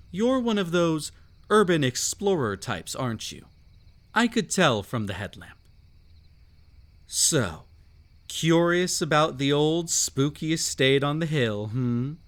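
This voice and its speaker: A man acts out a mysterious, sinister gentleman of a haunted estate in an audio roleplay. He speaks slowly and theatrically, teasing his listener with whimsical menace. His pitch swoops playfully through drawn-out phrases. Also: very animated. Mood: playful, amused. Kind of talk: scripted roleplay audio drama.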